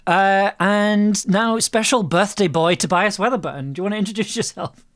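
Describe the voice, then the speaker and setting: A woman's voice: mid-range voice, normal speed. A woman hosting a live group stream, chatting casually and playfully, repeating words for effusive emphasis. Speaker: host of a live audio drama stream. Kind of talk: livestream welcome and casual chat.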